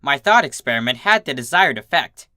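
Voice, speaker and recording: A narrator giving an expressive first-person reading of a comic fan story. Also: medium pitch, fairly quick, dry recording, clean studio sound.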